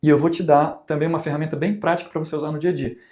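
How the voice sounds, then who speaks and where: Brazilian man teaching with a mellow voice. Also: fairly high pitch, little pitch movement, very fast. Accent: Brazilian Portuguese. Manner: conversational, asks rhetorical questions, acts out examples. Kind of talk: recorded teaching talk.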